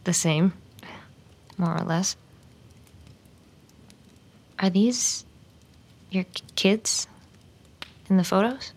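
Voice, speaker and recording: A woman playing a guarded, uneasy vampire in an audio drama, speaking haltingly and tersely with dry understatement. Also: on the low side, creaky, full character voice. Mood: hesitant, subdued. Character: guarded, reticent female vampire, uneasy and dryly sardonic. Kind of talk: naturalistic audio drama acting.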